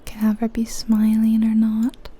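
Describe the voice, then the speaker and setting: A woman whispering softly and breathily in a gentle, unhurried ASMR style, chatting casually as she thinks aloud over a game. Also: a little high, completely flat delivery, husky. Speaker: ASMR video creator, host.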